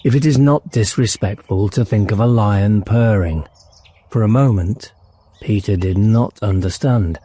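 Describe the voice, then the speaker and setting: A man's voice: fairly low pitch, unhurried, dark tone, husky. A man reading a children's fantasy audiobook as narrator, in a dramatic storytelling style.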